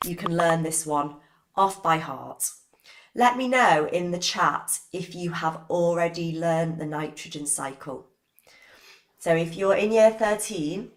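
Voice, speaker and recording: Hoarse woman teaching a class. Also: on the low side. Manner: chatty, greets viewers by name. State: hoarse from a cold. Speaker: biology teacher. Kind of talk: live-streamed revision lesson.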